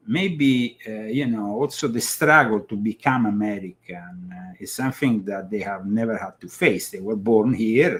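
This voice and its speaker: Halting Italian-accented male talk host. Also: on the low side. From Italy. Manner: emphatic, halting with many fillers and restarts. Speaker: show host. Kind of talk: political commentary talk show.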